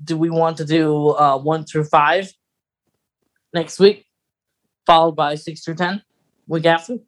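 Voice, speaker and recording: A man co-hosting a podcast in casual chat, measured and thinking aloud as he goes. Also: on the high side, flat delivery. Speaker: podcast co-host.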